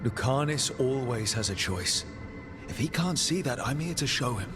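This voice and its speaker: An actor playing a loyal, resolute video game hero, speaking in an earnest, reassuring manner. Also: male voice, medium pitch, animated, moderate pace, over music, close to an everyday voice. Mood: determined. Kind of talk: video game character acting.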